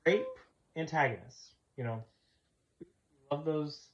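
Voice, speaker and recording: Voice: medium pitch, takes it slowly. A podcast co-host, enthusiastic and repeating words while counting down a list. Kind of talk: casual chat with friends. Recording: dull lo-fi podcast recording.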